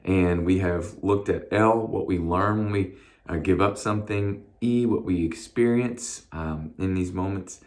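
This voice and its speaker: Hoarse low-voiced male pastor. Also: a little slow. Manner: casual, conversational, with frequent fillers and restarts. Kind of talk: short devotional message.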